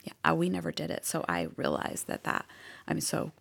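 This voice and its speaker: A woman with a Boston accent, a guest on a podcast, talking earnestly and openly, laughing easily and sometimes dropping into a soft whisper. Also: low-pitched, sing-song, moderate pace, creaky. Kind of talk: casual interview chat.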